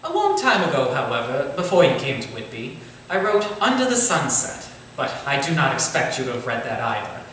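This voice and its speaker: Man as genial Victorian author, formal stage delivery, animated intonation, far from the mic, faint noise. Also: medium pitch, fairly quick, heavy reverb. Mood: genial, proud. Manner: formal, eloquent, encouraging, theatrical. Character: genial, courtly Victorian gentleman writer and theatre manager. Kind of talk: staged reading of a Victorian thriller. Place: indoor staged reading, distant microphone.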